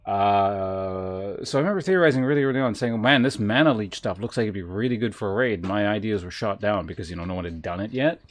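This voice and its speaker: A man's voice: fairly low pitch, quick pace, few pauses. A man streaming a game, chatting casually in a wry, rambling, conversational way as he comments. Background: game music.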